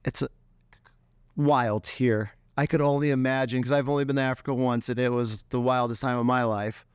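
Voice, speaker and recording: A man's voice: medium pitch, fairly even intonation, moderate pace, smooth, no room sound. An American man hosting a podcast, asking questions eagerly in loose, restarting sentences. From United States. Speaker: podcast host, hunting guide. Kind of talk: casual chat.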